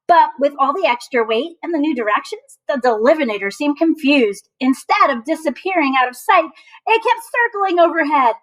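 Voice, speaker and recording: A woman with a high voice reads a picture book to children. She is expressive and emphatic, like a teacher, breaking off to chat directly to her young listeners. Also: normal speed, poor audio. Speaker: teacher reading aloud to children. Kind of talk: storybook read-aloud with asides to children.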